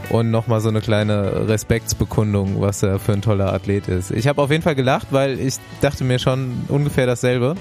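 A man's voice: fairly low pitch, fairly even intonation, moderate pace, few pauses, a little mellow, soft background music. Chatty male podcast host. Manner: chatty, many filler ums and ahs. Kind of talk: casual podcast chat with ad reads.

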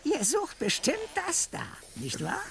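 Scrawny eccentric little man, very high voice, wildly swooping intonation, cackling, paranoid, radio play. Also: measured pace, vocal fry, a little hiss or hum, exaggerated voice. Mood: sly, gleeful. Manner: excitable, cackling, emphatic. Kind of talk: German radio play acting.

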